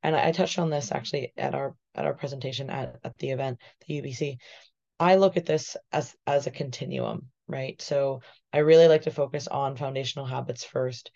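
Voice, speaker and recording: Slightly hoarse woman on a video call. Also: extremely low pitch, brisk, warm tone. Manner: thoughtful, explanatory, says "right?" often. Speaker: guest physique coach. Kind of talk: casual podcast discussion. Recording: muffled video call audio.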